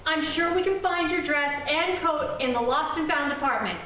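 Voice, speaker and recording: Echoey, high-voiced fussy floorwalker played by an actress. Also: female voice, normal speed, pushed and bright. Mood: flustered, placating. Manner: repeats herself, placating, formal store patter. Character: flustered, fussy female department store floorwalker, eager to placate customers. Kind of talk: 1940s radio comedy acting. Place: live student stage performance of a radio play. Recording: echoey, distant room sound with noise.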